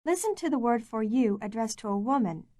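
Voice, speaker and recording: Woman narrator for a language lesson tape, slightly high voice, dry close sound, clear and measured. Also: lively intonation, warm tone, no echo. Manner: clear, measured, prompting the learner. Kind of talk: scripted instructional narration.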